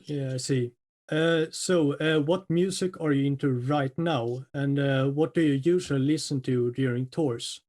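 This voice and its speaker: A man's voice: mid-range voice, measured pace, darkish tone. A man hosting a metal podcast, asking interview questions hesitantly with many fillers.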